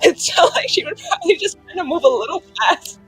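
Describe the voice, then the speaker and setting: A woman casually improvising her character's story as a tabletop game player, in animated, slangy speech peppered with "like". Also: very high-pitched, fairly quick, soft background music, poor audio. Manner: animated slangy chat full of "like".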